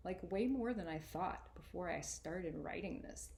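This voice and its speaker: A woman voicing a sarcastic, earnest boy narrator in an expressive, colloquial first-person storybook reading. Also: on the low side, unhurried, gravelly, slight background noise. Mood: candid. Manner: colloquial, exasperated, emphatic.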